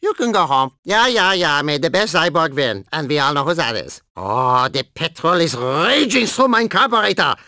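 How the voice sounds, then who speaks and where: A deranged old German cyborg hams it up for a video game. The voice is high and sharp, leaping around wildly in pitch. The German accent is thick, and the manner is excitable and gleefully menacing. The recording is close and dry. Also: male voice, normal speed, few pauses, no room sound, exaggerated voice. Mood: cocky, taunting. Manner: hammy, excitable, gleefully menacing, interjecting "yeah?". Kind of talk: video game character voice clips.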